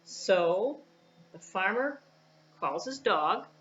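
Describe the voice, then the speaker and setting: A woman's voice: medium pitch, lively intonation, extremely slow, fairly bright tone, muffled recording, slight background noise. A woman telling a puppet show story to young children, in simple phrases with spoken sound effects.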